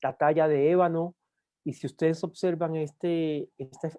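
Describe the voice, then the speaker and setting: A man from Cuba with a Cuban accent, lecturing at a university in an unhurried way, often pausing on "eh" and restarting words. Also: a little high, fairly even intonation, dark tone, clear, resonant tone, no echo, studio quality. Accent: Cuban Spanish. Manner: unhurried with frequent "eh" fillers and restarts. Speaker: guest lecturer. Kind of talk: university talk.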